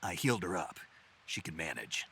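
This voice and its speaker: Very deep, gruff soldier. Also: male voice, sing-song, moderate pace, pushed and bright, a little hiss or hum, exaggerated voice. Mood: calm, matter-of-fact. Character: gruff, battle-worn male special forces soldier, urgent and stoic. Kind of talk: video game voice acting.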